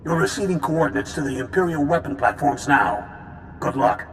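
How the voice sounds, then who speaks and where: An actor playing a brisk, demanding army general in a video game, curt and authoritative. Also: male voice, on the high side, a bit bright, slight background noise, natural voice. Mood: brisk, commanding. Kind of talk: video game cutscene acting.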